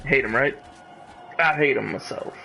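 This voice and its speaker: Creaky-voiced man streaming a game. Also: medium pitch, normal speed. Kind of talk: casual live gaming commentary. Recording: game music behind the voice. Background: video game music.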